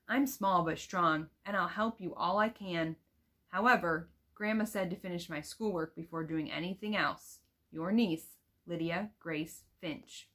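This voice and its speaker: A woman reading aloud as an eager, earnest young girl writing letters home, in a careful, expressive storybook manner. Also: on the low side.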